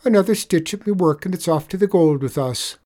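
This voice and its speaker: A man's voice: a little fast, dark tone. A man voicing a sly, tricky little male leprechaun cobbler in a lilting Irish brogue. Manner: high wheedling Irish brogue.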